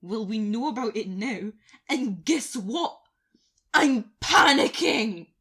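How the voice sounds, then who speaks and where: A compassionate woman trapped with other survivors and prone to panic. Her voice is fairly high and husky, her pace very slow. She swears freely in a naturalistic drama style. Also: expressive, bright, forceful tone, exaggerated voice. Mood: angry panic. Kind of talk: naturalistic audio drama acting.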